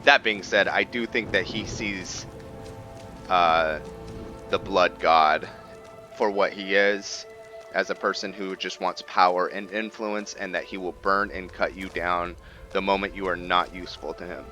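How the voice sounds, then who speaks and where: Low-voiced man musing over roleplay gameplay. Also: steady tone, measured pace, bright, forceful tone, soft background music. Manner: musing, thinking aloud with frequent hesitations. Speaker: gaming video host. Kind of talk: casual roleplay gameplay commentary.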